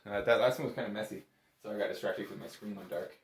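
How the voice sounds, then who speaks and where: A man's voice: fairly low pitch, fast, roomy sound, very noisy. A man giving a casual, step-by-step music lesson as an instructor, in an informal, reassuring and encouraging manner. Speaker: bagpipe instructor.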